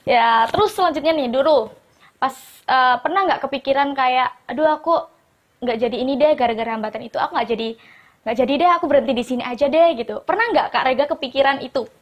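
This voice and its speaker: A woman's voice: high voice, fast talker. A woman hosting a casual interview, asking questions in a bubbly, encouraging way. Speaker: host, interviewer.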